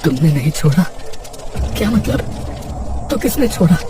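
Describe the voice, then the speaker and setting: A man playing a gentle, forgiving poor family man in an emotional cartoon, pleading and repeating his words. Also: a little high, big pitch swings, moderate pace, far from the mic, background noise. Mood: shocked.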